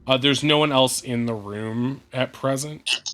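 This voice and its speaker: A man running an online tabletop game as game master, speaking in a measured way with many hesitant fillers. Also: mid-range voice, fairly bright tone, faint music behind.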